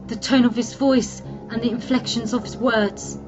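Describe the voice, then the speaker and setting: Music runs under a slightly dull recording of a woman playing a human alchemist trapped and determined to survive. She narrates in the first person with grave, theatrical delivery and a fairly high pitch, in gothic fantasy audio drama style. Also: fairly even intonation, normal speed, close to an everyday voice. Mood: grim dismay.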